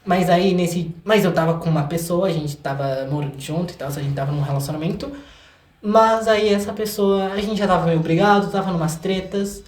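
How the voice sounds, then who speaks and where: A man's voice: high-pitched, fairly quick. A young man with a Brazilian accent chatting casually as an interview guest, informal, slangy and joking about himself. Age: young adult. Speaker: guest, singer-songwriter. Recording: echoey room.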